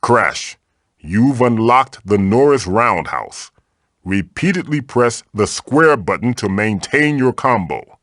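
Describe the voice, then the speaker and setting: Casting: wise old magical mask mentor for a video game, theatrical and wry, very expressive pitch, dry close sound. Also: male voice, no room sound, exaggerated voice. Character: wise, wisecracking ancient magical mask guardian, male. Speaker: game guide character giving tutorials. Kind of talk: animated video game voice acting.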